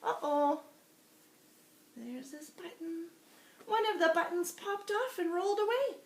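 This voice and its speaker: A woman teacher reading a storybook aloud to young children in an expressive, sing-song way, asking them questions as she goes. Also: extremely high pitch, a little slow. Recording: roomy echo with faint background noise.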